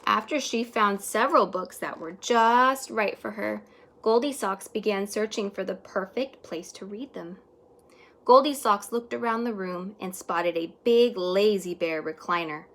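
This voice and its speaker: A woman teacher reading a children's story aloud expressively, stretching words and hushing some for emphasis. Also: mid-range voice, unhurried.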